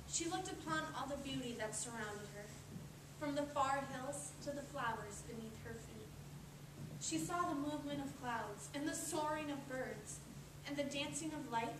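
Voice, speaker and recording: Female readers theatre narrator, deliberate storytelling delivery; distant mic with noticeable noise. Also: high voice. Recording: echoey room, distant mic, clear noise.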